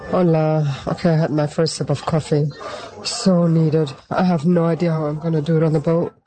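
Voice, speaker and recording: A soft-spoken woman from Germany, an activist, recording casual personal voice notes on her phone. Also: low-pitched, steady tone, normal speed, poor audio. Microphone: phone voice note.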